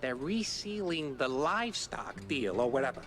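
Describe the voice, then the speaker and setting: A man's voice: medium pitch, sing-song, normal speed, fairly bright tone. A man voicing a fawning, shifty young rodent informant in a 1930s-style cartoon game, stammering and wheedling. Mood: eager, shifty. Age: young adult. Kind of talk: 1930s cartoon gangster-film acting. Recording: music behind the voice.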